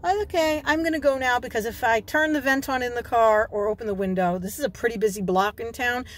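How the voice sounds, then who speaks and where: A woman's voice: fairly high pitch, brisk. A woman in her forties from New York, vlogging casually from a parked car into a phone held at arm's length, chatty and given to digressions.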